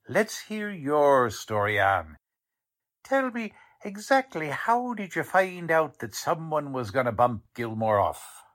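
An actor playing a blunt, slangy, wisecracking police lieutenant in a 1940s style radio drama. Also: male voice, medium pitch, a bit bright.

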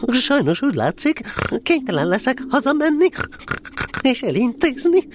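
Casting: small, timid piglet for a children's audio play. Anxious, hesitant, very animated intonation, noisy background. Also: sits high. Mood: nervous, evasive. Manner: nervous, hesitant, stammering excuses. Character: small timid piglet, anxious, excuse-making, eager to please. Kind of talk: children's audio drama acting.

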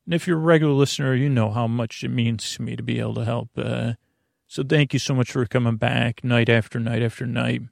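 A man hosting a bedtime podcast in a creaky voice, meandering and repetitive, halting and wandering off on tangents. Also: mid-range voice, steady tone, no echo, studio quality. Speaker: podcast host. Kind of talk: rambling bedtime podcast monologue.

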